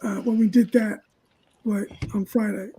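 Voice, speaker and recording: A woman's voice: medium pitch, fairly even intonation, unhurried, mellow, breathy edge. A woman chatting casually and giving her opinions as a panelist. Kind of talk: casual movie opinion chat.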